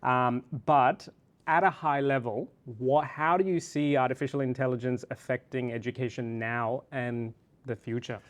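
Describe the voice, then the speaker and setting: Podcast host, mid-pitched man. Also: steady tone, moderate pace. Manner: encouraging, frequent short backchannels. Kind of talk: podcast interview.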